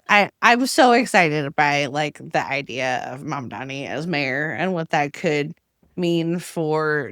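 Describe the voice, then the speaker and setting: A woman's voice: sits low, no room sound. A woman speaks casually as a panelist on a political podcast. She is enthusiastic and loose in her phrasing, and her voice is recorded dry, without any room sound.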